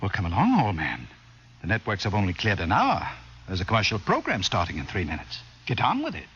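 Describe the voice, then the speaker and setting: Pompous, animated outgoing overlord. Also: male voice, on the low side, fairly quick, full character voice. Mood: breezy, impatient. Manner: speechifying, breezily patronizing. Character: pompous outgoing overlord, genial, businesslike politician. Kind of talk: 1950s radio drama acting. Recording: muffled vintage radio recording, faint noise.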